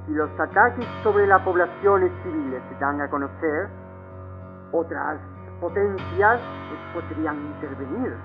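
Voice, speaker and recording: A man's voice: fairly high pitch, unhurried, narrow, tinny audio, over music, close to an everyday voice. A man playing an uneasy, diplomatic Italian ambassador in an audio drama, hesitant, stammering and formal. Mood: worried.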